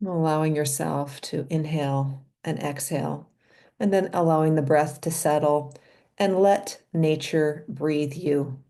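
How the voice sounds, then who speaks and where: Female meditation guide: low, soft-edged mellow tone, gentle and unhurried delivery in short, spaced phrases. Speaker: meditation leader and physician.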